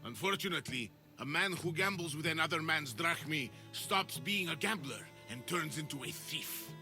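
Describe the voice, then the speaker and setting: A man's voice: on the high side, animated, moderate pace, music in the background, natural voice. A man acting a smug, menacing smuggling boss in a video game, with mocking, theatrical delivery. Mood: smug taunting.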